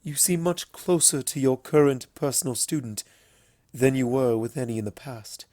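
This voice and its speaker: A man's voice: medium pitch, moderate pace, warm tone, no echo, close to an everyday voice. A man voicing a proud, dry-witted younger pony princess in a storybook reading, formal and guarded. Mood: guarded, probing.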